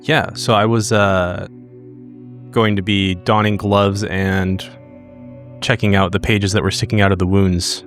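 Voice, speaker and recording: A man with a fairly low voice talks as a player in a tabletop game. He describes his character's actions casually and haltingly, over faint music. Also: little pitch movement.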